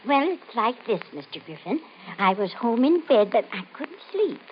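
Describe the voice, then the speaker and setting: An actress playing an evasive, scheming woman with a halting, dramatic delivery in a 1950s radio mystery. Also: on the high side, very animated. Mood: defensive. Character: scheming, evasive married woman, intense and obsessed. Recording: muffled, noisy old 1950 radio recording.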